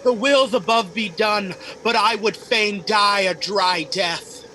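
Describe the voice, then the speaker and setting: Male actor, despairing shipwrecked noble, theatrical Shakespearean delivery, mournful, high bright voice, slight noise. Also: slow, full character voice. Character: despairing, resigned old-world nobleman on a sinking ship.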